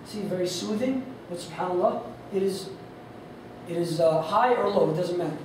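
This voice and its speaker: A man's voice: high voice, normal speed, a little mellow. A man giving an informal lesson on recitation styles, casual and rambling with many fillers, and losing his voice. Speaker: instructor on Quran recitation. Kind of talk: informal lesson with sung demonstrations. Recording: echoey hall from far off, faint noise.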